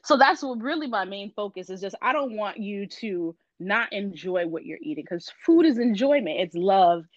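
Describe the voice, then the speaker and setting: A woman from Miami, Florida speaks as a podcast guest, relaxed and easygoing with plenty of ums. Her voice comes through a dull, muffled line, and the sound is dry, with no room around it. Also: medium pitch, moderate pace, hardly any pauses, dry recording. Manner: relaxed, frequent ums and laughs. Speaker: guest, vegan business owner. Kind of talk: casual interview chat.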